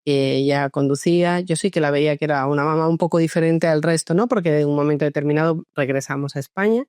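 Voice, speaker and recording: A woman psychologist born in France, telling her story in a relaxed interview with many hesitations and restarts. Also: sits low, quick pace, smooth, dry recording, studio quality. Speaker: guest psychologist. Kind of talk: conversational interview.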